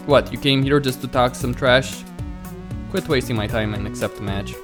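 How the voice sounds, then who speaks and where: Man reading as young pro gamer, defiant, terse, indignant, mid-pitched, music underneath. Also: moderate pace, lightly acted.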